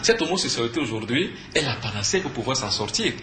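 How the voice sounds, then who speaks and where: Male spiritual teacher, Cameroonian French accent, forceful bright tone, didactic interview delivery, echoey room. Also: medium pitch, big pitch swings, quick pace. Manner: didactic, emphatic, asks and answers own questions. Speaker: spiritual teacher and conference speaker, interview guest. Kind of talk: earnest explanatory talk in a TV interview. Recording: echoey room with faint background noise.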